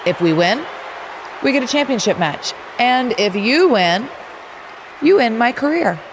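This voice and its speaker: A determined veteran wrestler in a video game promo. She is forceful and insistent, with lively pitch, over clear background noise. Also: measured pace. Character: determined veteran woman wrestler issuing a challenge. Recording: noisy arena ambience.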